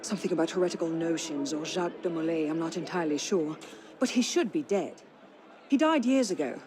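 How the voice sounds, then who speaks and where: A woman's voice: sits low, animated, fairly quick, mellow. An actress playing a proud, defiant young noblewoman with a formal, haughty manner in a game cutscene. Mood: grave. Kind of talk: dramatic video game cutscene acting.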